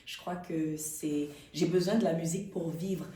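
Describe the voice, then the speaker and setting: A woman talks thoughtfully in an interview. Her voice is low and mellow, with frequent hesitations and restarts. The mic sits a little away from her in an echoey room. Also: fairly even intonation, normal speed, slight background noise. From Canada. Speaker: actress and interview guest. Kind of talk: conversational interview. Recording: roomy, distant mic.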